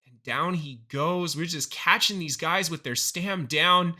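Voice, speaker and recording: A young man narrating his own gameplay clips into a close desk mic, his voice a little hoarse from a recent illness. The tone is very bright and sits slightly high for a man, and he turns upbeat and excited on big plays. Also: a little slow. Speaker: gaming YouTuber. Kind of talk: gameplay commentary voice-over.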